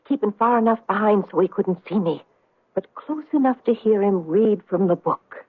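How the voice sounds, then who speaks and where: A daughter narrating with earnest wonder. Her voice is slightly rough and breathy, in old radio-play manner. The recording is thin and narrow. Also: mid-range voice, no room sound. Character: earnest Irish daughter recounting a wondrous family tale. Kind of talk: old-time radio drama storytelling.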